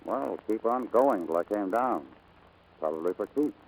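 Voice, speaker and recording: A man acting a brash, lovestruck American navigator in 1940s radio drama style, brisk, cocky and insistent. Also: lowish voice, expressive, a little hiss or hum, low-quality recording. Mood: steady, reassuring.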